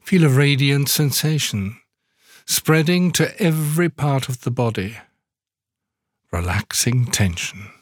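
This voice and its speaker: A man leading a guided yoga nidra meditation, giving soothing instructions slowly with long pauses. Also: mid-range voice, animated, no room sound, clean studio sound.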